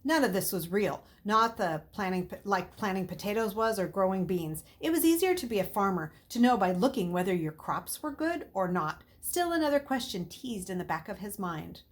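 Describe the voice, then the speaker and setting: A woman's voice: mid-range voice, animated, moderate pace, few pauses. A woman reading a children's novel aloud as a storyteller, with dramatic, emphatic delivery.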